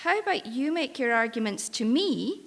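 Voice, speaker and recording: A woman's voice: sits high, very animated, moderate pace, a bit bright, heavily acted. A woman playing a world-weary, protective ship's crew member in a live staged drama, wry and reassuring as she coaxes. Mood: firm, reassuring. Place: live on stage in an arts venue. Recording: reverberant hall, live stage performance.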